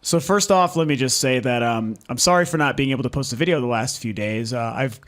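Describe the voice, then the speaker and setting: A man hosting a video game vlog, hoarse from losing his voice, talking in a candid, frustrated way and swearing freely. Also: medium pitch, a little fast, a bit bright. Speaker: video game channel host. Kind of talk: casual ranting vlog. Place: lives in Denver.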